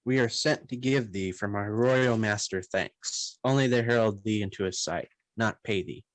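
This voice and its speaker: A young man playing a grave nobleman in a Shakespearean radio play, speaking measured, formal verse. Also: on the low side, no room sound, lightly acted, poor audio. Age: young adult. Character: grave nobleman reporting on a condemned traitor.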